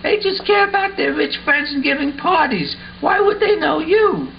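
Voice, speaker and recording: A man's voice: very high voice, normal speed, creaky. A man playing a skeptical, questioning young boy, a teasing best buddy in an amateur puppet show. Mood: disbelieving. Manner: incredulous, questioning. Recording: muffled audio with faint background noise.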